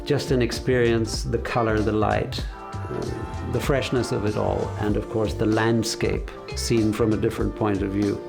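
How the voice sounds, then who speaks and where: A man in his fifties talks in a documentary interview, his low voice carrying a gravelly edge. He speaks slowly and thoughtfully, pausing often. Faint music plays beneath him. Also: little pitch movement. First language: English. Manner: measured, reflective, with frequent hesitations. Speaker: car designer.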